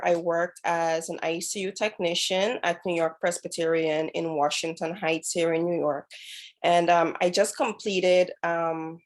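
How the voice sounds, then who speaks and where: Lower-voiced woman intern, hesitant delivery. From Guyana. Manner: hesitant, many "um" fillers. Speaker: dietetic intern, co-presenter. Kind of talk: presenting a webinar.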